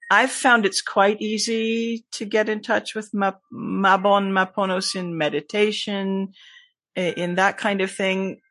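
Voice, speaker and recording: A woman's voice: mid-range voice, a bit bright, no room sound. Woman, podcast guest, thoughtful, hesitant with ums, self-correcting, dry recording. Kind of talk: casual podcast conversation.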